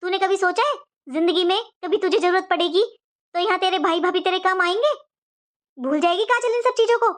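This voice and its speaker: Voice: very high-pitched, fairly quick, fairly bright tone. A performer voicing an anxious, morally stern middle-aged mother in a cartoon moral story, emotional and reproachful. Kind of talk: animated moral story dialogue.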